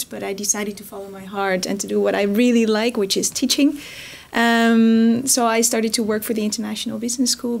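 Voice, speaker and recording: A woman's voice: fairly high pitch, vocal fry, a little mellow. A woman from the Netherlands, a lecturer, introducing herself on a panel in an earnest, articulate way.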